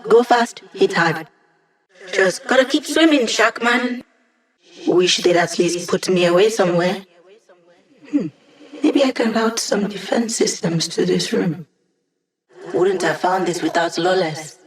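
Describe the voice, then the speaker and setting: Casting: playful young hacker for a video game played by an actor, mid-pitched, self-assured, casual teasing squad support. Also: unhurried, long pauses, distant mic, close to an everyday voice, rough recording. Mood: playful, confident. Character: playful, cocky young hacker sidekick guiding a villain squad.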